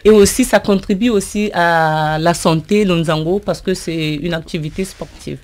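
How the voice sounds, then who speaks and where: A woman's voice: fairly low pitch, normal speed, darkish tone. Earnest woman association member on radio. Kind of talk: radio interview.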